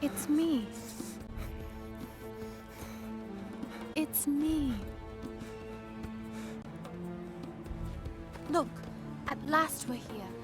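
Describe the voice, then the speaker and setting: An actress playing a spirited, insolent young princess in a video game, bold and expressive in her delivery. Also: high voice, slow pace. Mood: reassuring, urgent. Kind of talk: dramatic video game acting. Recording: loud music behind the voice. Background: game soundtrack music.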